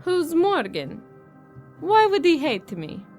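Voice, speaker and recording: A woman's voice: sits high, wildly swooping pitch, slow, darkish tone, faint music behind, exaggerated voice. A young woman acting a blunt, resentful au pair in an audio horror drama. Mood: probing, coaxing. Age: young adult. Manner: blunt, complaining.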